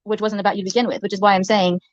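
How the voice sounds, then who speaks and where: A woman with a soft voice, rambling hesitantly in a clip from a livestream. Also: mid-range voice, level tone, rapid-fire, dry recording, highs cut off. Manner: hesitant, many fillers and restarts.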